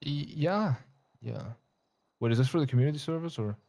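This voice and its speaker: A man's voice: on the high side, a little fast, creaky, warm tone. A man in a roleplay playing a prison officer, sardonic and joking, swearing freely. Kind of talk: in-character roleplay chat.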